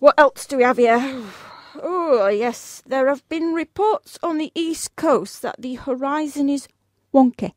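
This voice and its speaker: A genteel British office boss, played by a woman in a comic radio play, with a fairly high voice and a dry, close sound. She is breezy and unflappable, keeping polite, formal business manners however absurd the request. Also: unhurried, no room sound.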